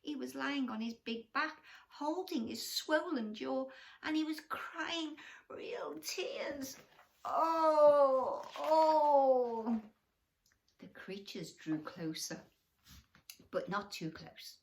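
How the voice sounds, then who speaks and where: A woman's voice: sits high, lively intonation, slow, gravelly, fairly bright tone. A woman storyteller reading a bedtime story expressively to children, with questions and asides to the young listeners.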